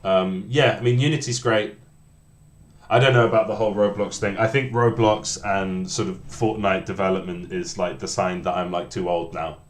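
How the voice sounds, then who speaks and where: Low-voiced man rambling on a livestream. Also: steady tone, reverberant. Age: 30s. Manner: rambling, halting, many restarts, self-deprecating. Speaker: game developer streaming. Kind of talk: casual livestream chat while coding.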